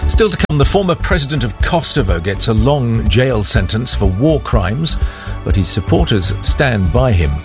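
A man with a British accent presenting a radio news magazine and introducing guests. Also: medium pitch.

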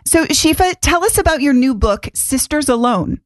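A woman's voice: high voice, animated, normal speed, dry recording. A woman interviewing an author, asking questions in a casual, encouraging way.

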